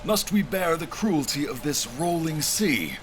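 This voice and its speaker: An actor playing a haughty royal officer in an audio drama, speaking in a formal, imperious, wordy way. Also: male voice, a little high, expressive, measured pace, noisy background. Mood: commanding.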